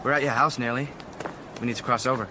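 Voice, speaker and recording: A man's voice: medium pitch, steady tone, fast talker, close to an everyday voice. An actor with an American accent playing a guarded, polite, awkward teenage boy in a radio drama, hesitant and deferential. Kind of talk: naturalistic radio drama dialogue. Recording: clearly noisy background ambience.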